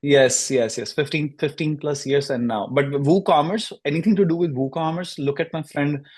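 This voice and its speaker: A man's voice: fairly high pitch, normal speed, a little mellow. A man hosting a podcast interview, chatting eagerly and stumbling over restarts. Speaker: podcast host. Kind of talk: casual interview conversation.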